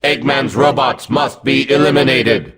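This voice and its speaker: A man voicing a hulking combat robot in a video game, gruff and mechanical, giving clipped, vengeful commands. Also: mid-range voice, measured pace, a bit bright. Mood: cold, commanding.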